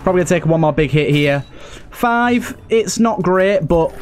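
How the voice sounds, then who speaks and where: A man's voice: sits high, lively intonation, normal speed, faint music behind. A man giving casual let's play commentary, thinking aloud and repeating phrases for emphasis. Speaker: gaming video host.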